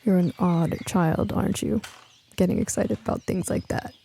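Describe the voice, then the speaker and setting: Woman voicing a spry older lady, creaky voice. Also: fairly low pitch, fairly even intonation, normal speed, mellow, slight background noise. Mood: teasing, fond. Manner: folksy, bossy, affectionate. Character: spry, teasing, feisty older female neighbor.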